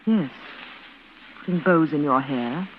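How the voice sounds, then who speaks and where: An actress playing a cold, bitter, reclusive twin sister with a clipped, severe manner in an old-time radio suspense drama. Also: female voice, deep voice, slow. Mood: bitter, cruel. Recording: noisy, tinny vintage radio broadcast.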